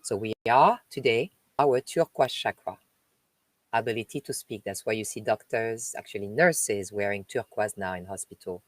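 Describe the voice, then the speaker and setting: A woman from France with a French accent, a spiritual host reading a text aloud and stopping for chatty asides, with slow, emphatic delivery. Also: very deep voice, rough recording. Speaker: spiritual live-stream host. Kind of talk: reading aloud with spoken commentary.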